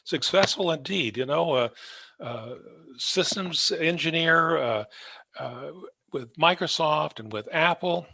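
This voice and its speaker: A man's voice: fairly high pitch, lively intonation, takes it slowly, gravelly, pushed and bright, dry recording. A man speaking as the host of an interview podcast. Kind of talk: scripted introduction, then conversational interviewing.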